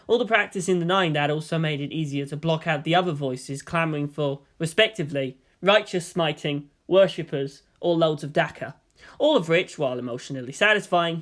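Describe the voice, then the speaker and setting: A man with a fairly high voice narrates a story. He reads from a script in the style of an audiobook of fan fiction. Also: fairly bright tone.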